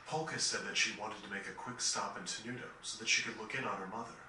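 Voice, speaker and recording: A man voicing a gentle, composed, courteous gentleman in a fantasy video game, measured and formal. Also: lowish voice, steady tone. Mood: calm, explaining. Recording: echoey, distant game capture with noise.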